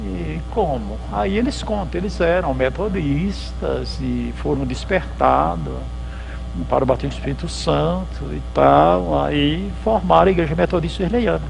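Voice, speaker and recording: A man's voice: on the high side, moderate pace, gravelly, noisy background. A man, a pastor giving a church history lecture at a symposium, telling it in a chatty way and acting out the dialogues. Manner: conversational storytelling, acts out dialogues. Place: lecture hall at a theological symposium.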